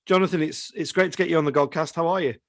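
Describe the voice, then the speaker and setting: Man, fairly high voice, podcast host, conversational questioning, hesitant phrasing, dry recording. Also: fairly even intonation, rapid-fire, no room sound. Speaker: podcast host interviewing a musician. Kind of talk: conversational interview.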